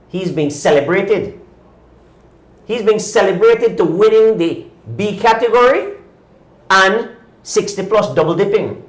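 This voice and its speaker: Indignant fifty-something man, echoey room. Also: sits high. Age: 50s. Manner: indignant, insistent, repeats points with rhetorical questions. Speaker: cycling podcast host. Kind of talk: opinionated talk-show rant.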